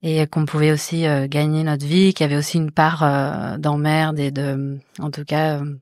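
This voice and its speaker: A woman in her thirties, a visual artist born in the Netherlands and raised in France, answering interview questions in a soft, reflective, halting way. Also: deep voice, flat delivery, dry recording, studio quality. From born in the Netherlands, raised in Marseille. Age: 30s. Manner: many fillers and restarts, soft and reflective. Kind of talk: hesitant interview talk.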